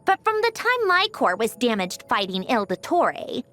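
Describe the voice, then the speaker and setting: An actress playing a curious, haughty mechanical puppet researcher in a video game, in theatrical, musing monologues. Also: female voice, a little high, sing-song, moderate pace, fairly bright tone. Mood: pensive, melancholy.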